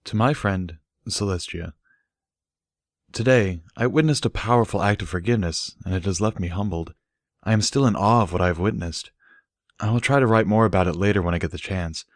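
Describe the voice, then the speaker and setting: A man with a low voice narrates an audiobook in a measured, earnest manner. The sound is dry and close, with very little room on it. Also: no room sound. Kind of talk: scripted story narration.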